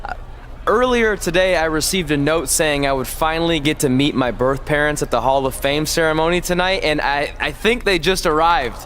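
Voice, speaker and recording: An earnest young wrestler played by a man in a video game, flustered and stammering. His voice is rather high for a man, with faint noise behind it. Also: moderate pace, fairly bright tone, close to an everyday voice. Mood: flustered, hopeful. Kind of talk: video game cutscene voice acting.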